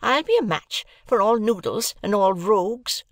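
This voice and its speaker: Shrill, shrieking shrew of a wife. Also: animated, slow pace, sharp tone, dry recording, studio quality, heavily acted. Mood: mounting rage. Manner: scolding, self-inflaming, exclamatory. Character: shrewish, raging married woman, theatrically hysterical scold.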